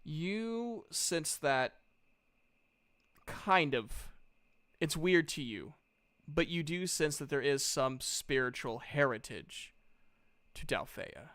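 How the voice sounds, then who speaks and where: A man's voice: on the high side, lively intonation, slow, a bit bright, no room sound. A man running a tabletop game as game master, narrating casually with an informal, playful, reassuring manner.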